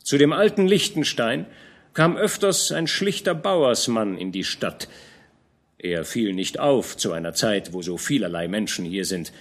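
A man voicing a shrewd, fatherly older field captain who speaks in a knowing, admonishing way, with lively pitch. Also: unhurried. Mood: probing.

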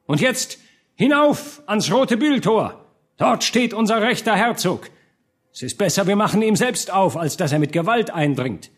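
A strong burgher leader played by a male reader calls out in a high, raised voice. He is decisive and commanding, rallying townsmen in a storybook tale with animated intonation. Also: measured pace.

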